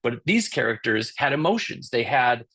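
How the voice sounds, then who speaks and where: A middle-aged man presenting a webinar as a creative director. His voice sits at a middling pitch and is getting worn, as he is losing it. He talks casually, with frequent pauses and restarts. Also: very animated, sharp tone, husky. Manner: casual, many fillers and restarts. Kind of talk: conference talk.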